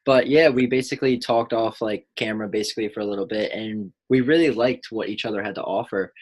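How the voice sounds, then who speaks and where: A man's voice: fairly low pitch, fairly even intonation, fast, breathy edge. A man from the United States, a guest in a casual podcast interview, easygoing and talkative.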